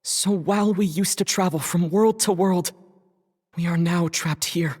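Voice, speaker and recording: A man playing an earnest young traveller in a game, narrating his story in a solemn, measured way. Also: sits high, darkish tone. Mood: solemn, resolute. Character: earnest young male traveller searching for lost sister. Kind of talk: dramatic game cutscene narration. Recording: long reverb, faint music behind.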